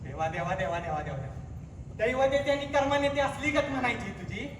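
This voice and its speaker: A man's voice: very high voice, lively intonation, moderate pace, noisy background. A man on stage playing a bitter, greedy village husband who berates and mocks his wife. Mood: bitter mocking. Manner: harsh, mocking, berating. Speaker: stage actor. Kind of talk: stage acting in a one-act play. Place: theatre stage. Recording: echoey hall, far mic, music.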